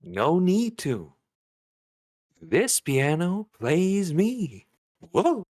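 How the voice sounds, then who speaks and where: A woman's voice: fairly low pitch, big pitch swings, extremely slow, darkish tone, no room sound, clean studio sound, full character voice. A woman playing a goofy, cheerful, doting father in a screenplay table read, laid-back and jokey. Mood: breezy, cheerful.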